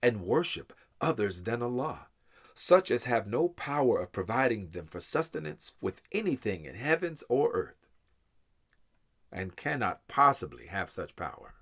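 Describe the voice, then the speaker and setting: A man giving a solemn, measured, reverent reading of scripture in English translation. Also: medium pitch, very animated, fairly bright tone. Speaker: scripture reader.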